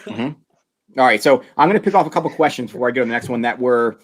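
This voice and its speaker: A man's voice: medium pitch, quick pace. A man hosting a live-streamed interview over a video call, asking rambling, jokey questions with many restarts. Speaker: host.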